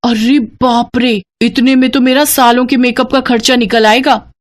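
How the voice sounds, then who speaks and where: A woman's voice: on the high side, steady tone, unhurried, natural voice. Woman voicing a frank, modest young village girl. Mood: astonished. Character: modest, plain-spoken young village woman, loyal friend.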